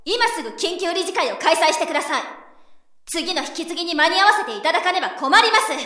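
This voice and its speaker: Woman as fiery young female official, very high bright voice, blunt, radio drama, roomy echo. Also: expressive, fast talker, exaggerated voice. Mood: formal, urgent. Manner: blunt, forceful. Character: outspoken, fiery, sharp-tongued young female subordinate official. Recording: roomy echo with faint music.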